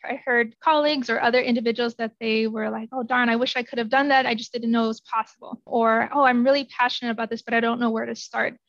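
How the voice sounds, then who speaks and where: Woman guest, earnest, flat delivery, somewhat high, dull remote audio. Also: fast, few pauses, dry recording. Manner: earnest, hedges with "I think". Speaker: interview guest, genetic counselor. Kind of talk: casual interview answers.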